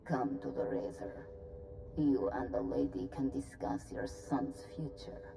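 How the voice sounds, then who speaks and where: A woman voicing a cool, commanding female alien envoy in a video game, formal and measured. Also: rumbling low, creaky, over music, full character voice. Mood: coolly threatening. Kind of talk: video game cutscene acting.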